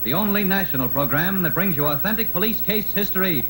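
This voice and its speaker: A man's voice: high voice, expressive, quick pace, fairly bright tone. A man announcing a 1940s radio crime program with an emphatic, dramatic delivery. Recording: echoey, noisy old radio broadcast.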